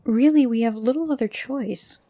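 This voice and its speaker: A calm, reasonable adventurer, played by a woman in a fantasy audio drama. The voice is fairly high and mellow, dryly recorded, with a measured, diplomatic delivery. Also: no room sound. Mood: persuasive. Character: calm, reasonable female adventurer organising the party.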